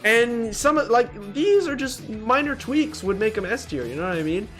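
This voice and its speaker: A man's voice: extremely high pitch, big pitch swings, moderate pace, fairly bright tone. A man giving casual, blunt, slangy ranking commentary as host of a gaming video. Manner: slangy and blunt, addresses viewers as bro. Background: music.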